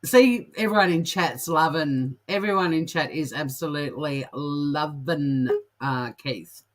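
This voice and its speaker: A woman's voice: low-pitched, measured pace. A woman co-hosting a comedy news show, chatting casually and reading out odd stories in a jokey, amused way.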